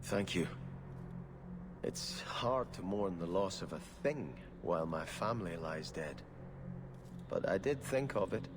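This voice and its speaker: An actor playing a devout, solemn exiled prince and archer in a video game, speaking in an earnest, formal, measured way. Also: male voice, sits low, expressive, noisy background. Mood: mournful. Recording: music behind.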